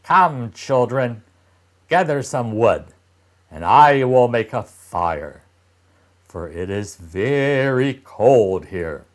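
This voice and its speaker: A man's voice: mid-range voice, lively intonation, extremely slow. A man voicing a cold, scolding stepmother in a storybook read-aloud, commanding and emphatic. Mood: brisk commanding.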